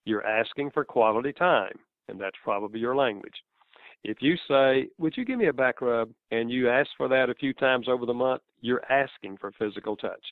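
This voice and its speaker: A man's voice: mid-range voice, no room sound, narrow, tinny audio. A man from the American South with a Southern accent, answering interview questions in a folksy, explaining way. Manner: folksy, explaining with examples and lively quoted voices. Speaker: author and guest.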